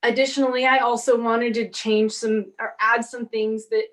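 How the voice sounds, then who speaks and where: Lively woman panelist, higher voice. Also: normal speed. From half Brazilian, grew up speaking Portuguese. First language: Portuguese. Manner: animated storyteller, acts out quoted exchanges. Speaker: panelist author. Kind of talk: casual panel talk.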